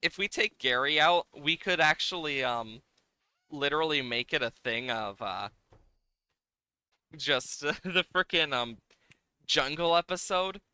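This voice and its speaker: A man's voice: a little high, lively intonation, measured pace, long pauses, sharp tone, clear, resonant tone, no room sound. A man livestreaming a game, talking casually and playfully as he thinks aloud in a rambling way. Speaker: livestreamer, host. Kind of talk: casual live game-stream chat, reacting to game and viewers.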